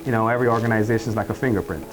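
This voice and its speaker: A middle-aged man with a Barbadian accent, a professor and department chair speaking in a faculty profile in a measured, explanatory way. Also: on the low side, steady tone, music in the background, poor audio. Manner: measured, explanatory, uses "you know" and "and whatnot".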